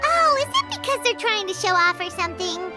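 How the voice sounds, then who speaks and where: A super high squeaky little fairy girl, bouncy and curious, music behind her. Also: very animated, brisk. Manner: curious, speaks of herself in third person. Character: tiny chirpy floating fairy girl companion. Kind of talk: game cutscene voice acting. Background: game music.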